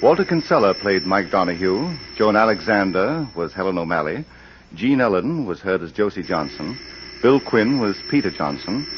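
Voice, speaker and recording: Courteous librarian host for a 1940s mystery program, deep voice, expressive measured announcing, muffled radio sound. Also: male voice. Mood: measured, formal. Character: genial male crime club librarian hosting the show. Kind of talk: 1940s radio announcing, half of a phone call. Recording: muffled old radio recording, light hiss.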